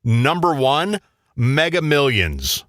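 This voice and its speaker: A man's voice: mid-range voice, big pitch swings, slow pace, a bit bright, no room sound, clean studio sound. A man co-hosting a radio show, bantering casually as he reads out countdown lists.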